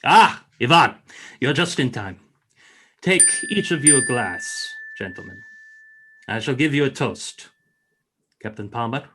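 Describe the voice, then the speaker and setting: A man's voice: on the high side, fairly bright tone, exaggerated voice. A man acting a grand, ceremonious Russian sea captain in an amateur radio play, speaking in formal, theatrical oratory.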